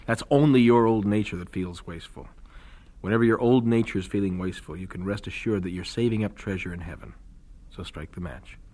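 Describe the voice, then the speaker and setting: An actor playing a principled young missionary husband in an audio drama, earnest with a dry, teasing humor. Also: male voice, lowish voice, brisk, dark tone, close to an everyday voice. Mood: firm, resolute.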